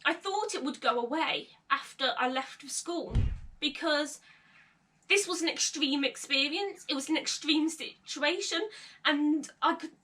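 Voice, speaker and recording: High bright young woman, slight stutter. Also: measured pace. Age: young adult. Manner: occasional stutter, candid, nervous laughs. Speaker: vlogger. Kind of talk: personal confessional vlog. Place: her bedroom, indoors. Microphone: camera mic at a short distance. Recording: slightly distant camera mic.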